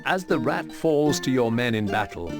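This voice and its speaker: Male game narrator, mid-range, measured and formal storytelling, set over strong musical backing. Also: mid-range voice, animated. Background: loud plucked-string music.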